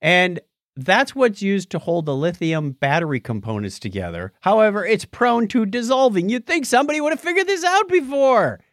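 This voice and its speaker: A man's voice: sits high, sing-song, normal speed, a bit bright, no room sound, studio quality. A man hosting a podcast, chatting casually and playfully through news stories. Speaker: podcast host. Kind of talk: casual news banter on a live-streamed podcast.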